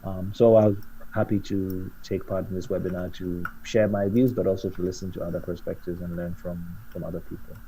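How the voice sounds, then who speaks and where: Deep, flat-voiced man on a video call. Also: noisy background. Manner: measured, thoughtful, with frequent fillers. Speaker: panelist, scientist. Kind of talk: video call panel discussion. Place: home room with bookshelves.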